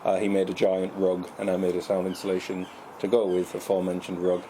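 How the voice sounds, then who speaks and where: A man's voice: low voice, monotone, fast, dark tone, slight background noise. A man co-hosting a casual radio chat, speaking in a wordy, mock-formal way with dry humour. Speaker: radio show co-host.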